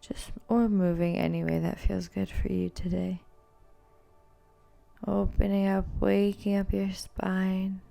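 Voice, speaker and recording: Slow, low, gravelly-edged woman guiding yoga. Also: faint music behind. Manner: calm, soothing, gently cueing. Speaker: yoga instructor. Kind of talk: guided yoga and meditation instruction.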